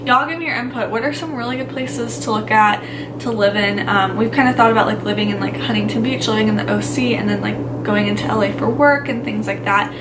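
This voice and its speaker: A woman's voice: medium pitch, brisk. A young woman from Texas, chatting casually in a Q&A vlog, rambling with lots of "like" and "um". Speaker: vlogger. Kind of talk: casual Q&A chat. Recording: loud background noise.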